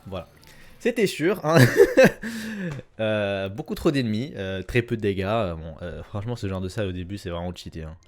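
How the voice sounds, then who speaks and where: Gamer guy, lowish voice, easygoing play-along commentary at his desk mic. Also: normal speed. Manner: relaxed, rambling, many fillers and repeats. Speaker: gaming YouTuber. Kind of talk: casual gameplay commentary. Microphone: desk mic at a gaming setup.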